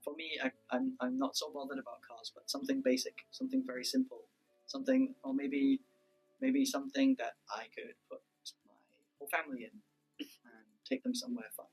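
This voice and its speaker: Gravelly, husky British man. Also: extremely high pitch, sing-song, fairly quick, soft background music, rough recording. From United Kingdom. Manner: cheerful, easygoing, lots of ums. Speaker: teacher being interviewed. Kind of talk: casual interview answers.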